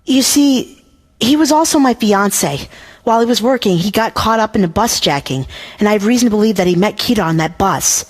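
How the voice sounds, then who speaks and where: A woman's voice: medium pitch, lively intonation, brisk, lightly acted. A woman playing a serious, analytical young investigator in an anime dub, reasoning earnestly and carefully. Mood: grave, insistent. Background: background music.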